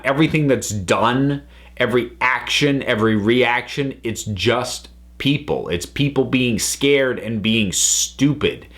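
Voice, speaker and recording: A man's voice: medium pitch, a bit bright. A man giving an unscripted review as a commentator, talking analytically and often stopping to rephrase himself. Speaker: reviewer, channel host.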